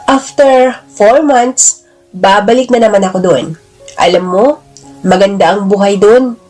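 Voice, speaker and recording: A woman's voice: mid-range voice, unhurried, darkish tone, soft background music, natural voice, low-quality recording. A woman narrator playing a bold, flirtatious single woman who talks in a brash, slangy, playfully scolding way in an audio drama. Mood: sassy, flirtatious.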